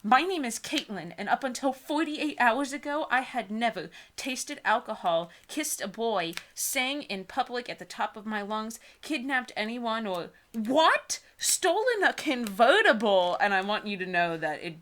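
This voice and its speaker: A woman's voice: a little high, animated, a little slow, hardly any pauses, fairly bright tone. A woman co-hosting a comedy book reading, reading aloud mock-dramatically and breaking into casual, giggly asides.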